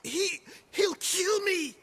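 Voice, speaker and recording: A terrified game bandit begging for his life. His shrill, harsh voice swings wildly and cracks with heavy creak as he stammers. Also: expressive, slow, fairly bright tone, heavily acted. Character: panicked, cowardly captured bandit pleading for life. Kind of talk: video game cutscene acting.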